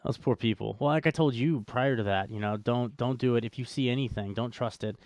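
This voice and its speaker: A man's voice: medium pitch, a little fast, darkish tone, no echo. A man co-hosting a show with casual podcast banter and commentary, wry and joking in manner. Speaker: co-host of a cryptocurrency show.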